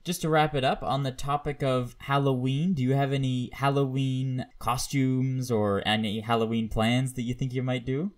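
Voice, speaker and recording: Male podcast host, medium pitch, informal and agreeable, a little tentative in his phrasing. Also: flat delivery, moderate pace, few pauses. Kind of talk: casual interview.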